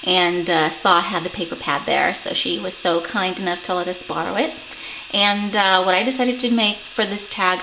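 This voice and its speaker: A woman talking through a finished craft project in a loose, rambling way, her voice croaky from a sore throat. The recording is dull and lo-fi, with clear hiss underneath her. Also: fairly low pitch. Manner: chatty, rambling, lots of ums and self-corrections. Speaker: crafting channel host. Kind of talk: casual craft show-and-tell. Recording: dull lo-fi home recording with noticeable background hiss.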